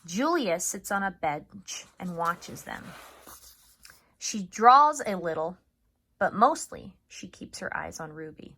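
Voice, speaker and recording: Bright-voiced woman teaching young children. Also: lowish voice, slow, husky. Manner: chatty, addresses children as friends, expressive. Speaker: third grade teacher. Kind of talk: upbeat video lesson and read-aloud for children.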